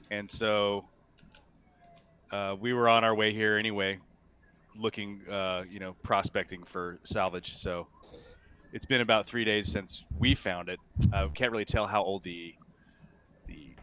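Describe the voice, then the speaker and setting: A man playing a cagey, businesslike salvage ship captain in a tabletop roleplay, explaining things haltingly. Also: fairly low pitch, sharp tone, clear, resonant tone. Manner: hesitant, stop-and-start explaining.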